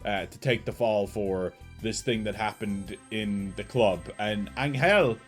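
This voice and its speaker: A man's voice: fairly low pitch, measured pace, faint music behind. A man hosting a reaction video with casual, chatty, informal commentary in a slightly nasal voice. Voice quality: slightly nasal early-morning voice.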